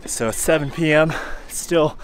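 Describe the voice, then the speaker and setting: A man in his forties, hot and sweaty, talking casually to a handheld camera while hiking outdoors, relaxed and rambling with fillers. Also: fairly high pitch, a little slow, a little hiss or hum. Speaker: hiking vlogger. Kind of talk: casual vlog talk to camera. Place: outdoors on a forest trail. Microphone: handheld camera held at arm's length.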